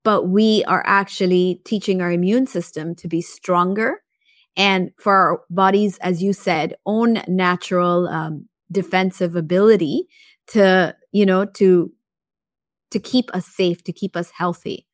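A woman's voice: fairly low pitch, fairly even intonation, measured pace, no echo. Podcast host, woman, reflective conversational delivery, dry sound. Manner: thoughtful, with frequent "you know" fillers. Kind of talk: conversational interview.

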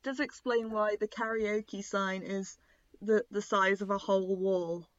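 A woman's voice: medium pitch, fairly even intonation, unhurried, no echo, highs cut off. A woman co-hosting an improvised comedy podcast, riffing goofily and laughing easily. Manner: goofy, playful, laughs easily. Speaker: podcast co-host.

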